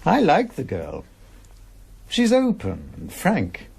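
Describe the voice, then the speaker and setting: A man's voice: a little high, wildly swooping pitch, vocal fry, darkish tone, slightly rough, exaggerated voice. An actor playing a practical, dismissive middle-aged husband and father in a radio drama, reproving. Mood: dry. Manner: brisk, reproving, matter-of-fact. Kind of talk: broadcast radio drama acting.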